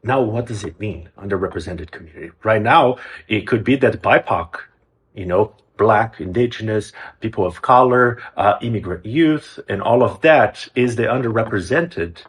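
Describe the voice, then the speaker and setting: A man from Brazil, a music program's executive director, answering interview questions earnestly, often restarting his phrases. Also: fairly low pitch. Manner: earnest, with frequent restarts and hesitations.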